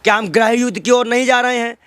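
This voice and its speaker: A man's voice: extremely high pitch, steady tone, quick pace, fairly bright tone, clear, resonant tone, no room sound. A man in a heated political interview, arguing emphatically with rhetorical questions and repeated phrases. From North India.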